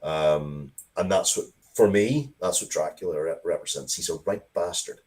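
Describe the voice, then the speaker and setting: A man's voice: deep voice, normal speed, a little mellow. A man talking casually and opinionatedly as an interview guest, emphatic and repeating words to drive points home.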